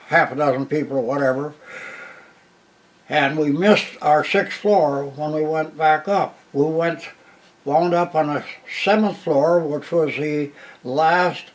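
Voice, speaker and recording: Slow-telling veteran in his eighties. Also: a little high, low-quality recording. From Minnesota, USA. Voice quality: loud voice. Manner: plain unhurried retelling with restarts. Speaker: war veteran interviewee. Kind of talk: oral history storytelling.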